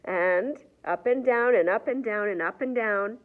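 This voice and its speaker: A woman reading a children's picture book aloud in an expressive storyteller's manner. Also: mid-range voice, unhurried, phone-quality sound. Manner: expressive, asks the young listeners questions.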